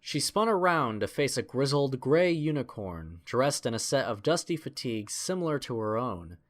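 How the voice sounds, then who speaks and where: A man's voice: medium pitch, sing-song, moderate pace. A man narrating a scripted adventure story in a dramatic storytelling style.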